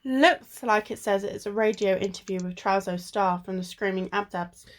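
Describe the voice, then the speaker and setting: A woman's voice: mid-range voice, moderate pace, natural voice. A woman acting in an amateur radio play as a sensible, bossy sleuth with an exasperated, chiding manner. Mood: businesslike. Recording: recorded at home in isolation.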